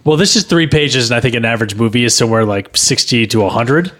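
A man of average pitch banters casually on a comedy podcast. He talks with emphatic mock confidence and gets defensive about his own work. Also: expressive, fast, a bit bright. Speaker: podcast co-host and amateur screenwriter.

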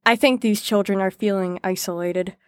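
An actress playing an earnest, dutiful female clinician in an audio drama, measured and clinical. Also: medium pitch, fairly even intonation, dry recording, clean studio sound, natural voice. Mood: earnest, persuading.

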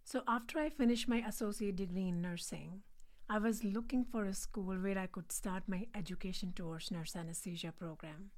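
A woman in her forties from India, speaking English with an Indian accent as a guest on a podcast, earnest and measured. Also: medium pitch, steady tone, darkish tone. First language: not English. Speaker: guest, nurse anesthetist. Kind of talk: podcast interview.

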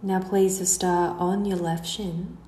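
A woman guiding a meditation in a slow, soothing delivery, letting the ends of her phrases trail away softly. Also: on the low side, flat delivery. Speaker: guided meditation instructor. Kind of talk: slow guided relaxation narration. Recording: roomy echo, faint background noise.

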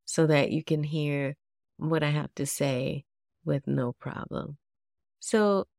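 A woman with a congested, nasal voice talks slowly and chattily into a close mic. Her tone sits low with a gravelly fry, and the sound is dry with no room around it. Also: dry recording. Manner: casual, chatty, asks listeners many questions. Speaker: podcast host. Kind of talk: solo podcast talk to yoga teachers.

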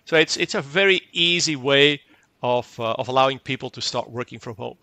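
A man whose first language is Dutch explains a technical product in an interview. His voice is bright and forward, and the sound is dry and close. He talks fluently but doubles up words and acts out short exchanges. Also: medium pitch, brisk, no room sound. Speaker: tech company guest.

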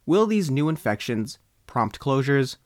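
American man reading news, animated pitch, dry sound. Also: measured pace, dry recording. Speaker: newspaper reporter narrating. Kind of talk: scripted news narration, reading aloud.

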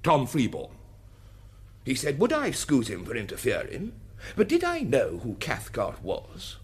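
An actor playing a blustering, evasive English aristocrat in a radio drama, with a clipped upper-class accent and a hesitant, old-fashioned manner. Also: male voice, mid-range voice, huge pitch swings, measured pace, slightly rough, exaggerated voice.

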